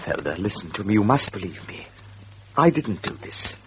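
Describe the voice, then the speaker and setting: A man's voice: lowish voice, sing-song, moderate pace, a little hiss or hum. An actor playing an embittered, defensive man accused of murder, insistent and sardonic, in a radio drama. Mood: earnest, pleading. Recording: dull lo-fi radio recording.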